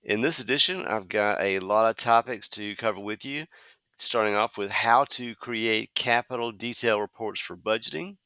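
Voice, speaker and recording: A man in his fifties hosting a solo podcast, talking conversationally with frequent "uh" fillers and a slightly hoarse voice from a cold. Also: fairly low pitch, moderate pace, pushed and bright, no echo. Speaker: podcast host.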